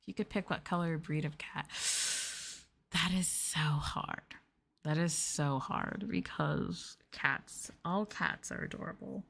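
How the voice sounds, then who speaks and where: A woman streamer chatting casually in a soft, affectionate, gushing ASMR style, close to the microphone and sometimes near a whisper. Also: sits low, takes it slowly, creaky. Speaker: ASMR streamer, VTuber host. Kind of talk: ASMR soft talking, casual chat with viewers.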